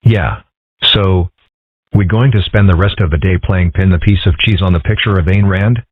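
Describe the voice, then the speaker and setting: A man voicing a calm, dry-witted ten-year-old boy in a cartoon comic dub, with a husky voice and a mild deadpan manner. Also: low-pitched, moderate pace, no echo. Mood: mild, musing. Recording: muffled band-limited audio.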